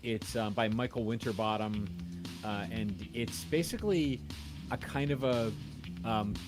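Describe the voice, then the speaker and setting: A man with a low voice talks casually over clearly audible music. He rambles in a loose, digressive way, feeling for the next detail as he goes. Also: measured pace. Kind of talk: casual chat.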